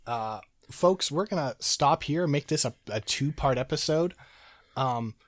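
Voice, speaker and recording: A man's voice: fairly high pitch, lively intonation, unhurried, no echo. Sick man losing his voice, podcast hosting. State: sick, sore throat, losing voice. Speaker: podcast host. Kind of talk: casual podcast chat.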